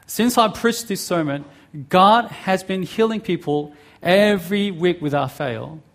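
A middle-aged man with a mix of Korean, Australian and American accents, a Korean native speaker, preaching as a guest pastor in a friendly, halting way with many fillers. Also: sits high, vocal fry. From South Korea. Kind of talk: sermon.

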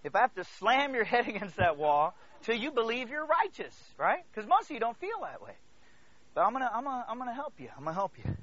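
High-pitched, animated male preacher. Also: quick pace, dry recording, muffled recording. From Congo, Central Africa. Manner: repeats phrases for emphasis, checks in with listeners; emphatic, swings between hushed asides and loud declarations. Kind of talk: animated sermon to a congregation.

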